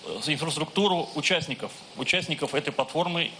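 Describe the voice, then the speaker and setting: Brief: Russian guest speaker in his forties, halting phrase-by-phrase address, bright tense tone, faint room hum. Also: on the high side. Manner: halting, pausing between phrases. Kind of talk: formal address in short phrases for interpretation. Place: large hall with audience. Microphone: handheld microphone.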